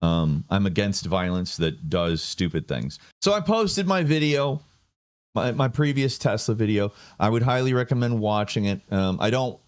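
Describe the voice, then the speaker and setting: A man's voice: lowish voice, normal speed. A man giving casual political commentary, sardonic and rambling. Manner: sardonic, rambling with fillers and restarts.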